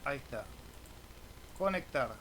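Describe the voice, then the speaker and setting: A man's voice: medium pitch, expressive, measured pace, fairly bright tone, slight background noise. A man with an Argentine Spanish accent explaining things informally as a video host, hesitating and adding little checking tags. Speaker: amateur radio operator and video host. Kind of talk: informal screen tutorial.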